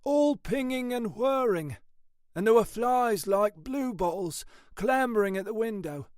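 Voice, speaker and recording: A mournful, overworked male detective from a crime story, put on by a male narrator. He grumbles chattily in a high-lifted, swooping voice with a very dry sound. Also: expressive, a little slow, darkish tone, no room sound. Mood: grim.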